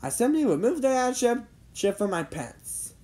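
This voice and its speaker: A woman's voice: fairly high pitch, sing-song, normal speed, mellow, voice pushed up. A woman voicing an eager, earnest boy inventor in a dramatic, exclamatory way for a children's story. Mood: confident. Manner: exclamatory, explanatory.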